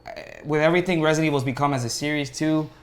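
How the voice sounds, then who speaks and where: A man's voice: fairly high pitch, racing. A man in casual, opinionated podcast conversation, speaking with emphasis as one of the panelists. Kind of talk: casual chat.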